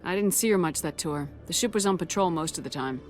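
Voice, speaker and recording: A woman playing a crisp, calm commander. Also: on the low side, fast talker, faint music behind, close to an everyday voice. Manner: calm, direct and brief. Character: calm female military commander.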